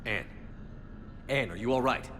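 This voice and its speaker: An actor playing a steady, resolute military commander in a video game, firm and clipped. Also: male voice, medium pitch, unhurried, natural voice. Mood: steady, concerned. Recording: noisy ambience behind dialogue.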